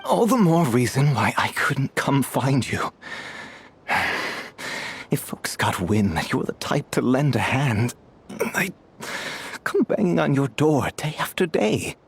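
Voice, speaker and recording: An actor playing a dying, destitute old thief in a video game, with a weak, breathy voice and a halting, resigned, wry manner. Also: male voice, mid-range voice, very animated, exaggerated voice. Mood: weary, wry. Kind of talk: dramatic video game voice acting.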